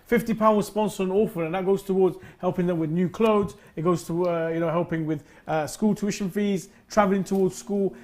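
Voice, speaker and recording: A man's voice: high voice, fairly even intonation, brisk, few pauses, darkish tone. A man whose first language is Urdu, co-hosting a live charity broadcast and running through donation packages with frequent fillers. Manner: listing items with frequent fillers. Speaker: host. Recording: music in the background.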